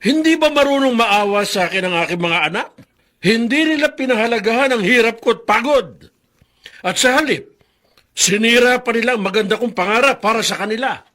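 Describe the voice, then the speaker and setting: High-pitched, bitter Filipino father. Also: a little fast, sharp tone. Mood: indignant. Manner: indignant, sarcastic. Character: bitter, unforgiving middle-aged father. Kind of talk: Filipino radio drama acting.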